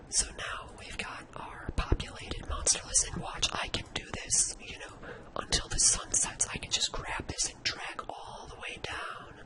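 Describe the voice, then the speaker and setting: A person speaks almost entirely in a breathy, unvoiced whisper. The delivery is that of a casual ASMR screen-share tutorial, thinking aloud along the way. The hushed sound stays soft and airy from phrase to phrase. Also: rough recording. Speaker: host of an ASMR spreadsheet tutorial.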